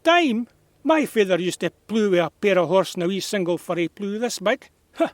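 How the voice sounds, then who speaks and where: An actor playing a stubborn, wistful old beekeeper with a Scottish accent and a folksy, hesitant way of talking in an audio drama. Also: male voice, high-pitched, animated, normal speed, no echo. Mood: indignant. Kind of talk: naturalistic audio drama dialogue.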